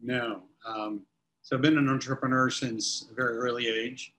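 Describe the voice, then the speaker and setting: A man's voice: medium pitch, flat delivery, normal speed, a bit bright, rough recording. A man in his fifties, a company chief executive, answering interview questions casually, rambling with many restarts and fillers. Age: 50s. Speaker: company CEO guest.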